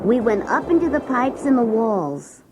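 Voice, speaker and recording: A woman's voice: fairly high pitch, very animated, moderate pace, lo-fi sound, background noise. A woman playing a schoolchild who narrates class adventures with wonder in a children's story. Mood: wide-eyed recounting.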